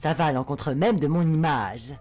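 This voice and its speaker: A woman's voice: deep voice, moderate pace, a little mellow. A woman voicing a crude, flamboyant male spider demon with a sarcastic, lewd, mocking drawl in a fan dub. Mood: sneering.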